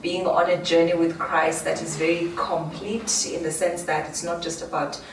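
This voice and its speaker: A South African woman, a native Xhosa speaker, talking as an interview guest in a measured, emphatic and earnest way. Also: on the low side, flat delivery. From South Africa (Eastern Cape). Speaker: interview guest, public health specialist. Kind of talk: earnest conversational interview with faith teaching. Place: echoey indoor studio. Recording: echoey room, distant mic, clear background noise.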